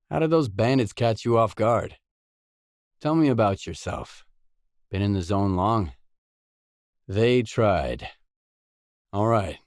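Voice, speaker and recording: A man's voice: on the low side, darkish tone, no echo, studio quality. A man acting a guarded, terse drifter in a video game, speaking in a matter-of-fact way. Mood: guarded, questioning. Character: guarded, terse male drifter searching a dangerous zone. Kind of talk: video game dialogue lines.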